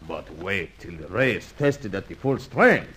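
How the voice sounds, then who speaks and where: An actor playing a boastful, scheming villain with a superweapon in a radio serial, grandiose and gloating. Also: male voice, low-pitched, animated, a little slow, fairly bright tone, slightly rough, heavily acted. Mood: proud. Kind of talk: old-time radio adventure serial acting.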